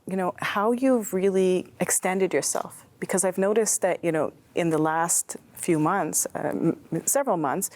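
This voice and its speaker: A woman hosting an interview, asking questions attentively and backing the guest with soft affirmations. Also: lowish voice. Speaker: show host.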